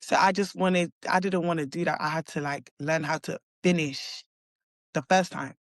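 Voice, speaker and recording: A woman's voice: sits low, steady tone, moderate pace, no echo. Hesitant young London woman, dry sound. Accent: London English. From London, England. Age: young adult. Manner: hesitant, full of likes and restarts. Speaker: college basketball player guest. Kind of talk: casual interview chat.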